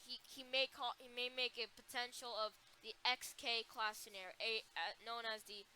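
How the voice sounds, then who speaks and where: A woman's voice: a little high, sharp tone, a little hiss or hum, low-quality recording. A woman playing a flustered emergency alert announcer in an amateur scripted broadcast, stumbling and restarting phrases. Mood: urgent, instructing.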